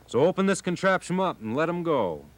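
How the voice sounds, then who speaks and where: A man voicing a surly, cross old male sea serpent with a gruff manner. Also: on the high side, big pitch swings, brisk, voice pushed up.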